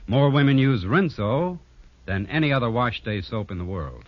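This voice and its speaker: A male announcer delivers an emphatic vintage radio sales pitch. His low voice moves with lively swings, and the sound is close, dry and muffled. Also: moderate pace, dry recording. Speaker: commercial announcer. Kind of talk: 1950s radio advertising read.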